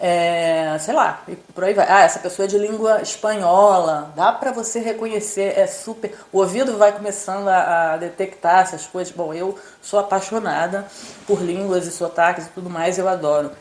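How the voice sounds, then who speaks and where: A woman's voice: fairly low pitch, moderate pace, darkish tone. A Brazilian woman in her fifties, co-hosting a casual chat, chatty and enthusiastic. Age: 50s. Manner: chatty, enthusiastic, fillers like "assim". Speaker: co-host, immigrant journalist. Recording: echoey room.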